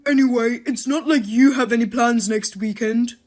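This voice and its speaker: A woman voicing an irritable, jobless husband who blames and snaps loudly. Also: a little high, moderate pace, faint music behind, natural voice. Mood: angry. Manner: loud, blaming and rude.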